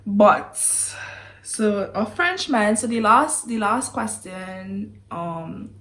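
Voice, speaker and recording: A woman's voice: mid-range voice, drawn-out words, fairly bright tone. A young woman with a Trinidadian accent, chatting casually to camera, giggly, sighing and apologizing as she goes. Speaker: vlogger. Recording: roomy echo.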